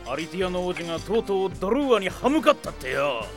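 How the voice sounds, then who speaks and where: A man's voice: high voice, sing-song, fast talker, fairly bright tone, faint music behind, full character voice. An actor playing a scrappy, nosy, grumbling bandit henchman with coarse slang in an audio drama. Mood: curious. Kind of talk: anime-style audio drama acting.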